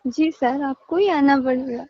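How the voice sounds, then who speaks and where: A woman's voice: sits high, unhurried, dry recording. A woman customer care agent on a phone call, polite and businesslike. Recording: muffled phone call recording.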